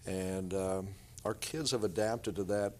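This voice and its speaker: A man's voice: low-pitched, fairly even intonation, unhurried. A middle-aged man answering interview questions conversationally as a basketball coach.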